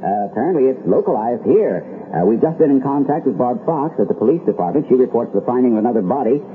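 A man plays a male American radio anchor delivering an emergency bulletin in a radio drama. He is flustered and halting, with very varied intonation. The sound is narrow, like an old 1970s broadcast. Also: medium pitch, lively intonation, close to an everyday voice. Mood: uneasy. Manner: halting, hesitant, many ums. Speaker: news anchor. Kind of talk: live radio emergency newscast acting. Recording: band-limited vintage 1970s radio broadcast.

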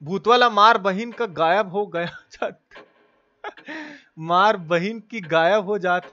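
High-pitched Bihari man, salty gamer talk. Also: slow, pushed and bright, muffled recording. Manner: salty, colloquial, swearing. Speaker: game streamer. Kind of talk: casual live gameplay commentary. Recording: clearly noisy background.

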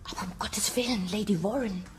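A woman's voice: mid-range voice, brisk, roomy sound, noisy background, natural voice. Actress as an anxious, polite young woman. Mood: shocked, concerned. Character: anxious, polite young female house companion. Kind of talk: German radio thriller acting.

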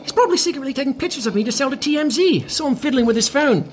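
An actor playing a vain, petulant washed-up rock star in a scripted comedy podcast, with a pompous, theatrical manner. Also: male voice, extremely high pitch, expressive, racing, slight background noise. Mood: indignant. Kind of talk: scripted audio comedy acting.

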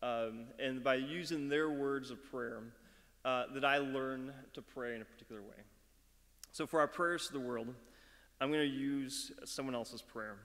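A middle-aged man leading a school chapel talk and prayer from a lectern, speaking in a measured, earnest way with some halting fillers. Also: medium pitch, a bit bright. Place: dark auditorium stage at a lectern. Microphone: mic on the lectern. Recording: long hall reverb.

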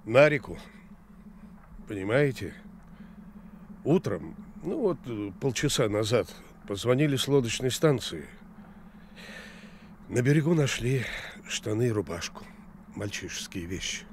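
An actor playing an urgent police captain in a radio drama, official and insistent, speaking in halting bursts. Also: male voice, mid-range voice, sing-song, a little hiss or hum. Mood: urgent, grave. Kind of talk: Soviet radio drama acting.

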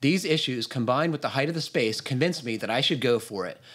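Guy hosting a podcast over video call, mid pitched voice, chatty, sometimes reading stuff out. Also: lively intonation, brisk, a bit bright. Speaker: podcast host. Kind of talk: casual chat and reading a listener email aloud. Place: indoor room on a video call.

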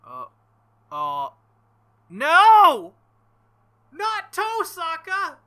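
A young man hosting a visual novel playthrough, reading narration and commenting in an excitable, joking, profane way. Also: extremely high pitch, extremely slow. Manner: excitable, swings to loud outbursts. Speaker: let's-play host.

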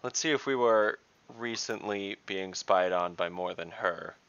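A young man playing a tabletop game on a video call, speaking slowly and deliberately with fillers. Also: low voice, a bit bright, clear, resonant tone. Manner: slow, deliberate speech with fillers. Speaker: player. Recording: dull video call audio.

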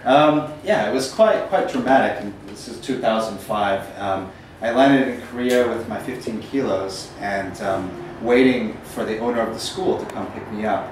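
A man's voice: lowish voice, normal speed, few pauses. Man with an American accent in an echoey room. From Northern Ireland. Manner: rambling, frequent ums, self-deprecating humor. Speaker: poet giving a talk. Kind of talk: casual storytelling lecture. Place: small gallery room, indoors. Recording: echoey room from far off, faint noise.

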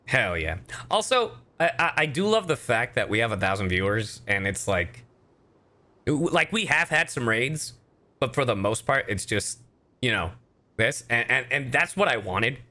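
A man's voice: medium pitch, moderate pace. A man hosting a livestream, chatting casually and informally with his viewers while playing a game. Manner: animated, informal, profane. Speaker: streamer, host.

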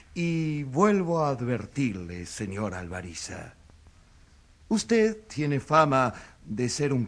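An actor playing an indignant crusading newspaper editor, declaiming emphatically as the accuser in a courtroom radio drama. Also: male voice, a little high, pushed and bright, full character voice. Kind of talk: theatrical radio drama oratory.

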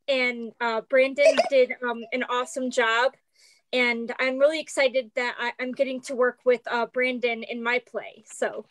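A woman chatting casually on a video call as an audience member, hesitant, pausing and repeating her words. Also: on the high side, little pitch movement, a bit bright, low-quality recording. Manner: hesitant, halting, repeats herself. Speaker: audience member commenting and asking questions. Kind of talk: casual, unscripted chat.

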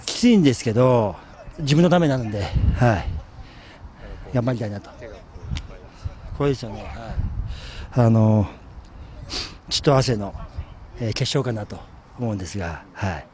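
A man with a medium-pitched voice answers interview questions off the cuff. He is recorded outdoors, with faint ambient noise around him. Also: animated, moderate pace, long pauses, dark tone. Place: outdoors in a park.

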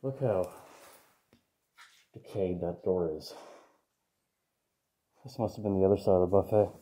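A man exploring a moldy abandoned building, talking casually in hushed, awed remarks. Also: low-pitched, a little slow. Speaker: urban explorer. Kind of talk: casual on-location commentary.

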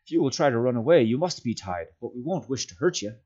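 A man voicing a stern, commanding hobbit master in a storybook, speaking in a firm, measured, formal way with some pity. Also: medium pitch, lively intonation, dark tone, lo-fi sound, voice pushed up, lightly acted. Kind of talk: storybook character voice.

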